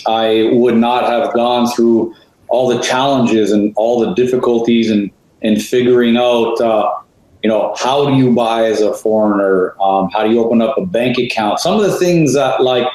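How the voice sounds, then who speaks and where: A man's voice: mid-range voice, darkish tone. A man from Canada, co-hosting as a real estate advisor, talking at length with many fillers and rhetorical questions. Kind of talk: conversational interview. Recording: very echoey room.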